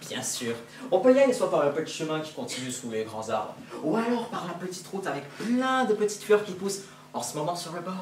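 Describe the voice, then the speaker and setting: A student actor playing a sly, hungry male wolf who coaxes gently, heard from far off in an echoey school hall. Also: mid-range voice, very animated, a little fast, a little hiss or hum, close to an everyday voice. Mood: coaxing. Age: teenager. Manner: gentle coaxing, feigned sweetness. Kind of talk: school stage play. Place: school stage.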